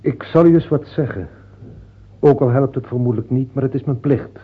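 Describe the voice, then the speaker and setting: An actor plays a grave, saintly father counselling a king in a radio play. His male voice is husky, solemn and measured, with frank admonishment. The sound is thin and tinny, like an old narrow-band broadcast. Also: medium pitch, natural voice. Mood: earnest, admonishing. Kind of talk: radio drama acting.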